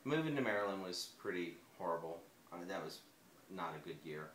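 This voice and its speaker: A man in his forties with a very low voice answers interview questions hesitantly, often pausing and restarting. The recording sounds echoey, with a faint hum of room noise behind him. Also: expressive. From United States. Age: 40s. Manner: hesitant, soft-spoken, many fillers and restarts. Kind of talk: personal interview.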